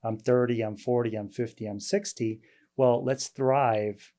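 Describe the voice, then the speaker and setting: A male doctor hosting an interview, talking in a halting way with many fillers and restarts. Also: medium pitch, fairly even intonation, warm tone. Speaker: host, weight loss doctor. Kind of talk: casual interview chat.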